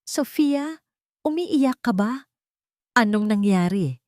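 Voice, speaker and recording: A woman's voice: fairly high pitch, lively intonation, slow pace, a little mellow, no echo, studio quality, pitched above the performer's own voice. Emphatic, protective Filipina best friend acted by a woman. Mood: worried. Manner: emphatic, urging. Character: loyal, indignant female best friend, fiercely protective. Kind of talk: audio storybook drama.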